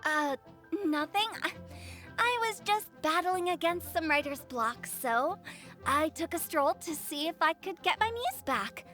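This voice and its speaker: Game role: impish teenage funeral director, very high voice, unhurried coy delivery. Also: female voice, expressive, pushed and bright, soft background music, full character voice. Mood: sheepish, playful. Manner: coy, theatrical, sing-song. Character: mischievous, playful teenage girl funeral director. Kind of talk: video game voice acting.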